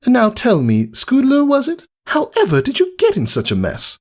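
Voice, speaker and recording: Prim unicorn lady done by a man, very high-pitched. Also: wildly swooping pitch, moderate pace, darkish tone, heavily acted. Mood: fussing, polite. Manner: genteel, fussy, dramatic. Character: prim, fussy, motherly female unicorn, ladylike and theatrical. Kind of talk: character voice in fan fiction reading.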